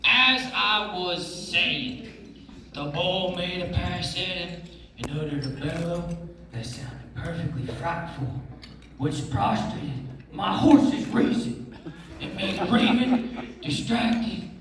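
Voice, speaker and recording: A man playing a boastful, excitable Old West teller of tall tales, emphatic and folksy, on stage. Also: high voice, takes it slowly, exaggerated voice. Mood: insistent. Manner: emphatic, folksy, dramatic storytelling. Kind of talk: stage readers theatre performance. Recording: very echoey hall, far mic, noisy.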